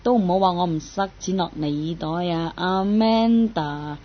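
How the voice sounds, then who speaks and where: A woman's voice: fairly low pitch, measured pace, muffled recording, a little hiss or hum, close to an everyday voice. A woman acting a boyish, jealous young woman in a radio drama, with a pointed, probing manner and a Hong Kong Cantonese accent. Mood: suspicious, possessive.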